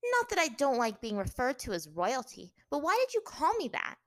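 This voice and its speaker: A woman's voice: high-pitched, huge pitch swings, normal speed, fairly bright tone, dry recording, clean studio sound, pitched above the performer's own voice, heavily acted. A woman voicing a proud, defiant young captive princess in an audiobook, indignant and sarcastic, punctuating her words sharply.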